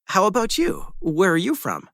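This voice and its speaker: A man's voice: high voice, wildly swooping pitch, normal speed, gravelly, fairly bright tone, no echo, studio quality, full character voice. A man playing a friendly young student. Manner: easygoing, asks friendly questions. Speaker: student in a dialogue. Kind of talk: scripted conversation for listening practice.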